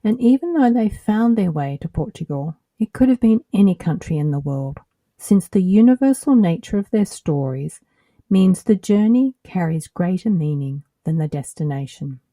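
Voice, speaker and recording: A middle-aged woman with an Australian accent, hosting her own podcast in a measured, earnest scripted narration. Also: fairly low pitch, expressive, dark tone, no room sound. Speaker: podcast host.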